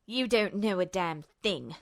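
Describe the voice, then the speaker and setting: A woman's voice: medium pitch, lively intonation, measured pace, voice pushed down. A woman voicing a smug, bitter male villain in an audio story, cold and contemptuous, with hissing, snarling delivery. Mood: hissing anger.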